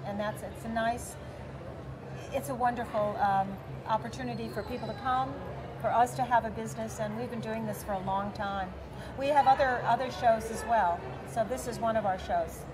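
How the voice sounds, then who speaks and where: A woman's voice: a little high, moderate pace. A middle-aged woman, an expo organizer answering interview questions with lots of fillers. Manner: talkative, many fillers, gestures while talking. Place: large convention center hall, indoors. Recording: busy convention hall crowd noise. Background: crowd chatter.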